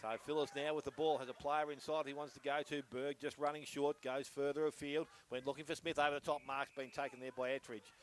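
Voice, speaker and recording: A man's voice: a little high, little pitch movement, quick pace, hardly any pauses. A man with an Australian accent giving live play-by-play commentary on an Australian rules football match. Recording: noisy outdoor sports broadcast.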